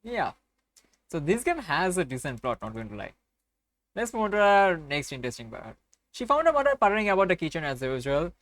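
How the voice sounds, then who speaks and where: A man's voice: a little high, very animated, fairly quick, fairly bright tone, no echo. A man hosting a game playthrough, reading a visual novel aloud with casual commentary.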